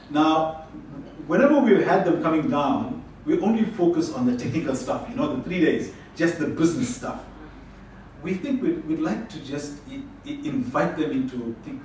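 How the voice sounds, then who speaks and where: A man from South Africa gives a talk at a conference, chatting his way through it and often restarting his sentences. He is picked up from far off in a very echoey room, with a faint hiss underneath. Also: on the high side. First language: Tamil. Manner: conversational, frequent restarts and repeated words. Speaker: conference presenter. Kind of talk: presentation with audience questions.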